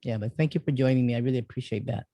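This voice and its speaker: A man hosting an interview show, welcoming a guest and asking questions in a halting, loose way. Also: medium pitch, mellow, dry recording. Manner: halting, full of fillers and restarts.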